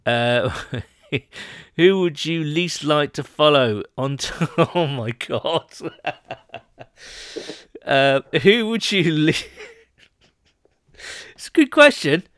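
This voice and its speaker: A man's voice: mid-range voice, fairly bright tone, smooth, no room sound, studio quality. A man co-hosting a podcast in casual chat, rambling and laughing as he reads out listener questions. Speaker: podcast co-host.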